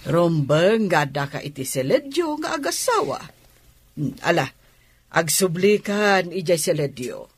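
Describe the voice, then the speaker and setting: A woman's voice: fairly low pitch, big pitch swings, unhurried, breathy edge, heavily acted. An actress playing a mature, concerned, motherly auntie in an Ilocano radio drama. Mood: concerned, scolding.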